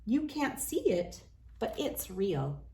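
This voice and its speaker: A woman reading a children's picture book aloud as host, with expressive, deliberate storytelling phrasing. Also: mid-range voice.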